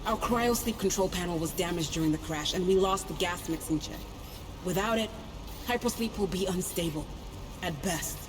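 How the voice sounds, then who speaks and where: A woman's voice: fairly low pitch, moderate pace, natural voice. A woman playing a practical, dry-witted starship chief engineer in a video game, frank and matter-of-fact. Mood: grim, frank. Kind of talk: video game cutscene acting. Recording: reverberant hall, music underneath.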